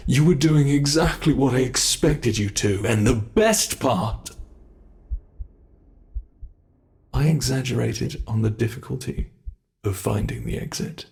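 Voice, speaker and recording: A man playing a sadistic, gloating monster from under the bed in an ASMR horror roleplay, taunting and savoring every word with a gravelly voice. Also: medium pitch, moderate pace, a little mellow, natural voice. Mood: smug.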